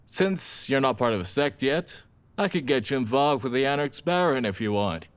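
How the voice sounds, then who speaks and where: An actor playing a laid-back, friendly young vampire gang member in an audio drama, talking in casual slang. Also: male voice, medium pitch, lively intonation, normal speed, smooth, lightly acted. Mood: friendly, easygoing.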